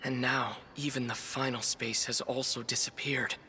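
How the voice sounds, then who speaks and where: A man playing an earnest, observant young adventurer in a video game, reporting in a measured, serious way. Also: medium pitch, level tone, over music, close to an everyday voice. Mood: grave, puzzled. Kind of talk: video game character dialogue.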